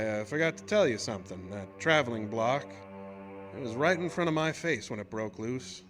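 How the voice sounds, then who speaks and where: An actor playing a rough-spoken oil rig worker telling his own eerie tale in a colloquial, old-time radio horror monologue. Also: mid-range voice, a bit bright, natural voice. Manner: colloquial, folksy, haunted storyteller.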